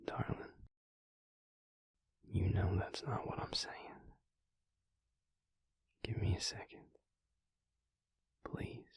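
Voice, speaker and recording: A man playing a protective, brooding vampire lover in an intimate audio roleplay, with a husky, breathy voice and a hushed, intense, deliberate delivery. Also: wildly swooping pitch, no room sound, in a whisper, heavily acted. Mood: earnest, frustrated. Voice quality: husky, breathy, gravelly low voice. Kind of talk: intimate audio roleplay acting, speaking to the listener.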